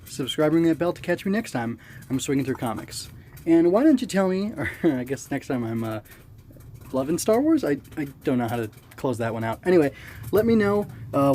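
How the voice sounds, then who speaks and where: A man's voice: a little high, warm tone, a little hiss or hum. A man giving a casual solo review as a podcast host, rambling, thinking aloud and correcting himself as he goes.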